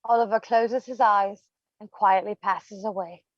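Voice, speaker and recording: A woman's voice: mid-range voice, fairly even intonation, unhurried, no echo. A woman reading stage directions as scripted narration, on a slightly dull microphone.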